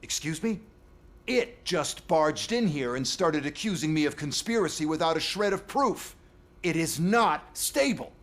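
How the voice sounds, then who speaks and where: Smug, higher-pitched corporate executive. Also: male voice, expressive, a little slow, fairly bright tone. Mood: irritated, scornful. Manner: condescending, sarcastic, grandstanding. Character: smug, scornful corporate robotics executive, man. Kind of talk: video game cutscene acting.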